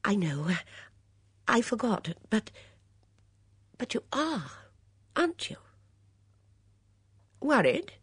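A woman voicing a fussy, anxious elderly spinster who stammers and frets in a high, plaintive voice. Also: very animated, slow pace, long pauses, husky, no room sound, heavily acted. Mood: fretful.

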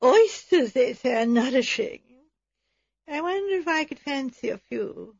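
A woman's voice: sits high, lively intonation, a little slow, dry recording, dull audio, voice pushed up, heavily acted. A woman voicing a frail, fussy, self-pitying English spinster invalid in a thin, weak, melancholy voice. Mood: feeble, fussy. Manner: melancholy, martyred, plaintive.

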